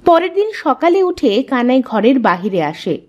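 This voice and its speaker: A woman's voice: sits high, big pitch swings, normal speed, a little mellow, highs cut off, a little hiss or hum. A woman narrating a scripted cartoon folk tale as a storyteller.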